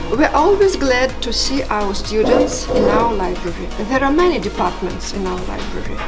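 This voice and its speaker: A middle-aged woman with a Russian accent, a native Russian speaker, giving a careful, formal welcome as a chief librarian in a library office. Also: on the high side. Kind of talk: prepared welcome speech. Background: music.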